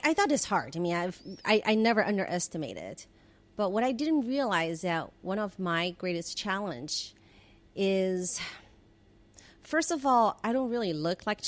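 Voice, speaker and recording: Reflective woman telling work stories. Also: medium pitch. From China. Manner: reflective, frequent restarts and soft laughs. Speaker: podcast guest, former government relations head. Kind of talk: conversational interview storytelling.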